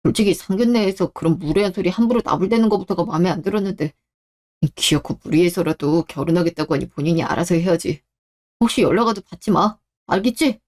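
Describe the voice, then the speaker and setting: Woman acting a low-pitched, protective husband. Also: fast talker, a little mellow, natural voice. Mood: firm, protective. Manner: firm, blunt, earnest. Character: protective, outraged adult husband, male. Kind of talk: Korean radio-drama story acting.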